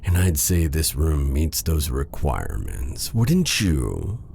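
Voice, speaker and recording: A man playing a possessive, silky dark sorcerer in a theatrical fantasy ASMR roleplay, drawing out courtly endearments with quiet menace. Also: a bass voice, very animated, slow, creaky, slight background noise, heavily acted. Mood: smooth, menacing.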